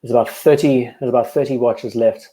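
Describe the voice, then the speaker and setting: A young man from Cape Town chats casually on a livestream, his South African accent clear. He sounds relaxed and keen, trailing off into fillers and repeating himself as he thinks aloud. His voice sits at a middle pitch for a man. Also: a little fast, rough recording. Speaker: livestream host.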